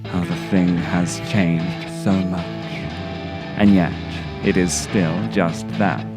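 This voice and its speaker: A man with a low voice narrates a scripted video essay. His delivery is deliberate and theatrical, with literary phrasing. Music plays clearly behind him. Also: fairly even intonation. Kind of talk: scripted narration, dramatic horror-tinged monologue and video essay.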